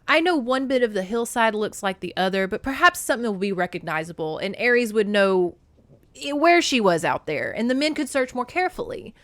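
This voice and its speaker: A woman voicing a commanding, defensive male king of the gods in a storybook, emphatic and measured. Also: a little high, big pitch swings, no room sound, pitched above the performer's own voice.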